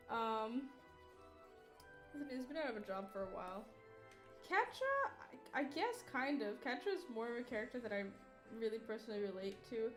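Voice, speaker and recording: A woman's voice: high-pitched, a bit bright, echoey room. A woman in her twenties chatting casually on a livestream while drawing, rambling, tired and yawning, swearing offhand and making self-mocking jokes. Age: 20s. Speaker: artist livestreamer.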